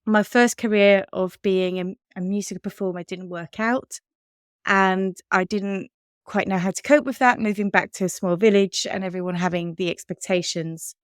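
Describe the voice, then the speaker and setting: A woman's voice: mid-range voice, fairly even intonation, normal speed, dry recording, studio quality. A woman in her thirties with an English accent, co-hosting a podcast and chatting candidly, laughing often. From Switzerland. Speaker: podcast co-host. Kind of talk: casual chat.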